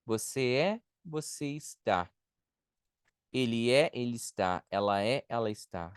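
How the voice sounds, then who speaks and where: Brazilian male language teacher, native Portuguese speaker. Smooth, unhurried, didactic delivery in a dry, close sound. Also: mid-range voice, animated, no room sound. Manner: didactic, step-by-step with repetition and check-in tags. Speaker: English teacher. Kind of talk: online beginner language lesson.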